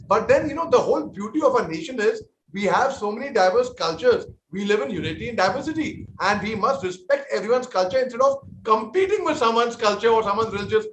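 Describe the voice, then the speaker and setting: Indian guy on a tv debate panel, high voice, earnest, echoey room w faint hiss. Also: a bit bright. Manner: earnest, conciliatory, often repeats and restarts words. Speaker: army veteran panelist on a TV debate.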